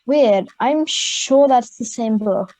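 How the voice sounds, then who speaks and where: A woman's voice: on the high side, takes it slowly, a little mellow. An Australian girl of ten or eleven playing an offhand, grumbling schoolgirl in an amateur radio play. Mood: puzzled. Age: child, 10 to 11. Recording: home video-call recording.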